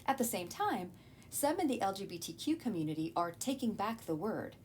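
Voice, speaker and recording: Expressive woman teacher narrating a lesson, noisy recording. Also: moderate pace. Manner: didactic, with rhetorical questions and asides. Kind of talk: scripted educational narration. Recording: clear background noise with low rumble.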